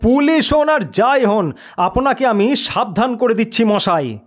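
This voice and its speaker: A man's voice: extremely high pitch, lively intonation, measured pace, voice pushed up. A man voicing an anxious passenger in an audio story, a polite fellow who is easily made huffy and protesting. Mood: indignant. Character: indignant, anxious male night-time cart passenger telling his tale. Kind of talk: dramatised audio storybook character.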